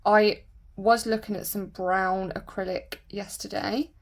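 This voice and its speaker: A woman with a croaky voice from a sore throat, chatting casually and rambling with lots of fillers while doing her nails. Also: medium pitch, flat delivery, unhurried. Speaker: nail vlogger.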